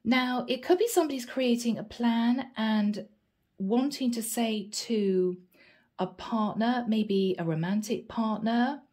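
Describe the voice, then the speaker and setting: A woman with a head cold giving a tarot card reading, musing softly and thinking aloud as she goes. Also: on the high side, slow. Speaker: tarot reader.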